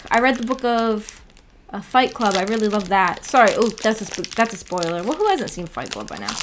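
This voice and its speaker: A woman's voice: mid-range voice, background noise. A woman hosting a livestream, chatting casually in a musing, hesitant, giggly way. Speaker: livestream host. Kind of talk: casual livestream chat about a visual novel.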